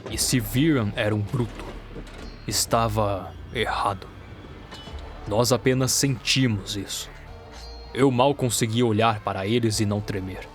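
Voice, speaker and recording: A man's voice: on the low side, steady tone, unhurried. A man with a Brazilian accent giving dramatic first-person narration for an audio drama. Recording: music bed under the voice. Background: music.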